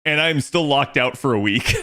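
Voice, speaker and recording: A man's voice: mid-range voice, expressive, normal speed, sharp tone. Streamer, male, easygoing slang-heavy chat over gameplay. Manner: laid-back, slangy, laughs at himself. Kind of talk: casual gaming stream chat.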